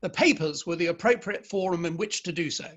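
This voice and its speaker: Guy acting stern proud victorian dad, kinda high voice, formal and emphatic, stage play style. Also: fairly quick, a bit bright, lo-fi sound. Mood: indignant, blustering. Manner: emphatic, formal, opinionated speech. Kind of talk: dramatic play reading.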